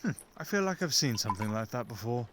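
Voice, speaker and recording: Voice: mid-range voice, big pitch swings, fast talker, background noise, natural voice. An actor playing a sarcastic, jealous talking fox familiar with a dry, nagging manner in a fantasy roleplay audio drama. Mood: dry, sceptical.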